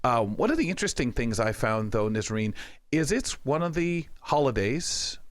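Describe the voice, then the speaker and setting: A man's voice: medium pitch, lively intonation, moderate pace, dry recording. A man with a Canadian accent hosting a conversational talk show, speaking in a wordy, meandering way.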